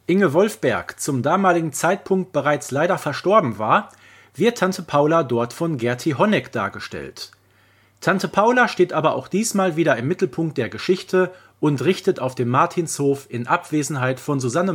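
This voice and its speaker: Man, husky voice, expert contributor, scripted narration, animated pitch movement, informative.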